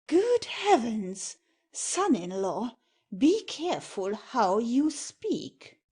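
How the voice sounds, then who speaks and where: A woman's voice: a little high, sing-song, drawn-out words, a little mellow, slightly rough, heavily acted. An actress playing a haughty, disapproving noble mother-in-law in a classic comedy audio play, affronted and grand.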